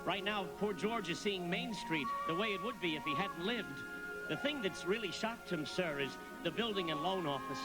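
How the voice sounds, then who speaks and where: Elderly guardian angel, gentle and earnest, highish animated voice, radio drama with music underneath. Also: male voice, normal speed, poor audio. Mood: dutiful. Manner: earnest, explanatory. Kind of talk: live radio play acting.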